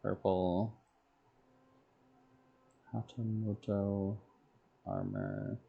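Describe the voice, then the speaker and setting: Male game streamer: very slow, halting self-talk, heavy vocal fry. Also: low voice, very animated, lo-fi sound. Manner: halting, terse, talking to himself. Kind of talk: muttering item names while making a list.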